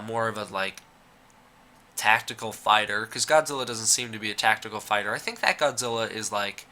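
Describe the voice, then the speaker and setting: A man's voice: lowish voice, bright, forceful tone. A young man with a cold, giving a rambling, opinionated casual commentary as a YouTuber, with frequent "uh" and "like". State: fighting a cold.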